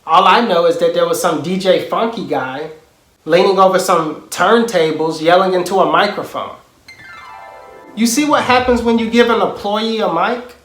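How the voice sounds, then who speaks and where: A man doing a parody of an aggrieved, self-important music mogul, boastful and indignant, with emphatic pauses. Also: sits high, fairly bright tone. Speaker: comedic impressionist. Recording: roomy, echoing sound.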